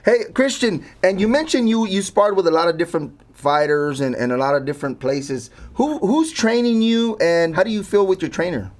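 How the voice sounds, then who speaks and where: A man's voice: high voice, normal speed, hardly any pauses. A man interviewing in a casual sports style, asking direct questions conversationally.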